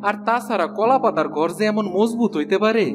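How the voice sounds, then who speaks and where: A man's voice: sits high, fairly quick, music in the background, close to an everyday voice. A man with a rural Bangladeshi accent playing a humble, forgiving poor village husband in a cartoon, plain-spoken and deferential. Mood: respectful, forgiving. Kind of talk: cartoon dialogue acting.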